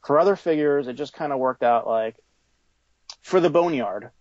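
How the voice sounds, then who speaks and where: A man answering informally as an interviewed toy designer, halting as he thinks aloud, on a slightly lo-fi recording. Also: mid-range voice, darkish tone, dry recording.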